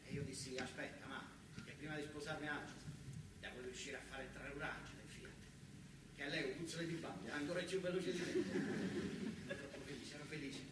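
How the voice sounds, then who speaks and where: A man's voice: a little high, fairly even intonation, brisk, background noise. A man with a southern Italian accent tells a story as a theatrical monologue, emphatic and repetitive, slipping into dialect. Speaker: stage storyteller. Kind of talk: theatrical monologue in dialect-tinged speech, with folk song. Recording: echoey, distant stage recording with music.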